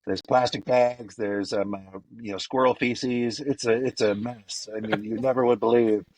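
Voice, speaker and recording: A man co-hosting a podcast, joking in a deadpan, mock-serious way. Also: medium pitch, normal speed, dry recording, low-quality recording. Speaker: podcast co-host. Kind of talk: casual podcast chat.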